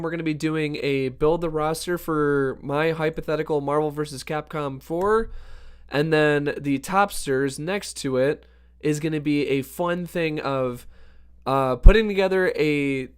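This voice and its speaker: A man hosting a birthday livestream in a casual, upbeat way, greeting chat viewers by name, his voice hoarse from allergies. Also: on the high side. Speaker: livestream host.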